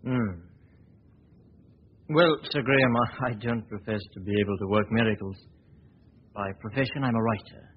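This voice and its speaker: An actor playing a confident, self-assured writer turned detective, in 1940s radio acting. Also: male voice, lowish voice, moderate pace, long pauses, close to an everyday voice. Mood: cool. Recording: dull lo-fi 1940s radio recording with faint hiss.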